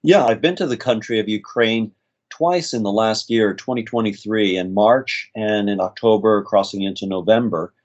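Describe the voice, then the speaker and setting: A middle-aged man with a slight American accent, a nurse practitioner guest telling stories in a relaxed interview with frequent "uh" fillers. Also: lowish voice, normal speed, dull audio. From United States. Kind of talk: conversational interview storytelling.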